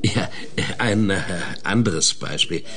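A man's voice: low-pitched, slow, sharp tone, exaggerated voice. A man playing a methodical investigator in a German radio play, hesitant at first, then explaining pedantically. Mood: pedantic, eager. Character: methodical male investigator, specialist in traps.

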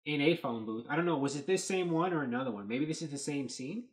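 A man in his thirties, hosting a casual commentary on movie set photos, thinking aloud with lots of fillers, recorded at a desk webcam in a small dark room. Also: fairly high pitch, lively intonation, fast talker. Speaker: host.

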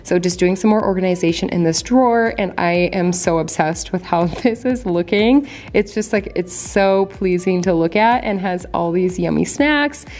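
A woman's voice: on the low side, normal speed, hardly any pauses. A young adult woman chatting casually to viewers in a voice-over, enthusiastic and friendly. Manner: chatty, enthusiastic, addresses viewers as you guys. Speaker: home vlogger. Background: soft background music.

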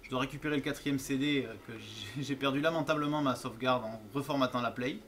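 A man's voice: mid-range voice, fast talker, fairly bright tone, slight background noise. A man commenting casually and playfully while he plays a game, joking and laughing often. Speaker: streamer playing a horror game.

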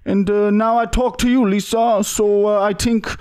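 A man's voice: sits high, flat delivery, moderate pace, darkish tone, smooth, lightly acted. A young man voicing a pushy foreign man with broken English on a prank call, full of hesitant fillers. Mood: pleading. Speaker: prank caller. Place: small studio room indoors. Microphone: podcast mic on boom arm.

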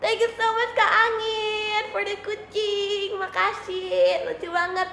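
A young woman on a casual livestream, her voice high and unhurried. She is playful and giggly and drops English phrases into her chat. The small room leaves a roomy echo and a faint hiss behind her. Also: level tone, bright, forceful tone. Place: small indoor room, seated at a desk. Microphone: phone or webcam in front of her.

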